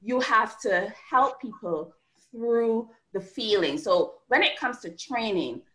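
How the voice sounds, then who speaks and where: A woman from Barbados with a Barbadian accent, a guest author and coach talking through an interview in animated, rhythmic runs of points. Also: fairly high pitch, slow pace, poor audio. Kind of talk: podcast interview conversation.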